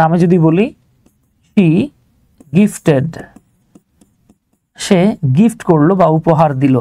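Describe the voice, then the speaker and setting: Mellow higher-voiced Bangladeshi male teacher. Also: no echo. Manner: conversational, checks on learners with rhetorical questions. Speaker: English grammar teacher. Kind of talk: informal grammar lesson, explaining step by step.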